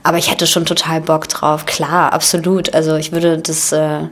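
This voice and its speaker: A woman in her twenties from Germany talks in a relaxed, chatty way. Her voice sits a little low. She often restarts her sentences as she talks through an interview. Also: moderate pace. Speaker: actress and guest. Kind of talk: casual chat.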